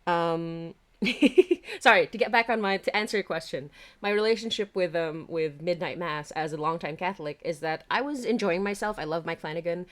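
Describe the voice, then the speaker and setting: A woman hosting a casual livestream chat as a podcast creator, exclamatory and quick to giggle. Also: lowish voice. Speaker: podcast creator and stream host. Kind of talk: casual livestream chat, reading out audience comments.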